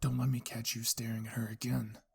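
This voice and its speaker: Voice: medium pitch, level tone, fairly quick, vocal fry, a little mellow, slightly rough, heavily acted. A narrator voicing a stern, curt, menacing male soldier in an anime story reading. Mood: cold warning.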